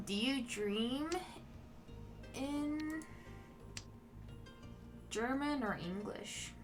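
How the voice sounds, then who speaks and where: A woman whose first language is Mandarin, chatting casually with viewers while she plays a game as a streamer, in a relaxed and musing way. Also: high voice, drawn-out words. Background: game music.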